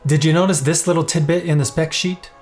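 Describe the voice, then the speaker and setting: A man's voice: a little high, steady tone, fairly quick, darkish tone, faint music behind. A man giving scripted, playful and enthusiastic narration for a product review.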